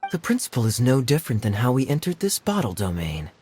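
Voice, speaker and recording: A man playing a suave, teasing young knight in a video game, laid-back and wry with deliberate phrasing. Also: medium pitch, wildly swooping pitch, darkish tone. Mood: composed, reasoning. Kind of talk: video game cutscene voice acting. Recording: music behind the voice.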